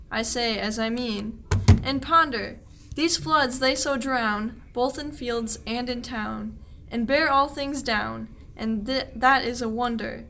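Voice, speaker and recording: A woman's voice: on the high side, vocal fry, a bit bright, slight background noise, full character voice. A woman playing a young, put-upon shepherd servant boy in a radio play, declaiming verse earnestly.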